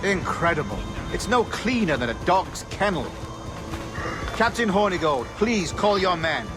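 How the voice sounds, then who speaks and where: An actor playing a courteous, authoritative colonial governor, speaking formally and politely in a game. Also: male voice, sits high, sing-song, measured pace, over loud music. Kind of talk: video game cutscene acting.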